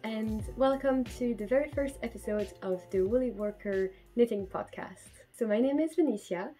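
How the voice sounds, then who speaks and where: Chatty woman from Belgium, mellow voice. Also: on the high side, moderate pace, faint music behind. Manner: chatty, hesitant with fillers and restarts. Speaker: knitting podcast host. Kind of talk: casual chat to camera. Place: bedroom at home, indoors. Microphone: camera in front at desk distance.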